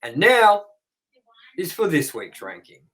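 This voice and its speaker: A man's voice: high-pitched, wildly swooping pitch, slow pace, a bit bright. A man co-hosting a movie review show, reading trivia and goofs from his notes in a casual way and swearing offhandedly.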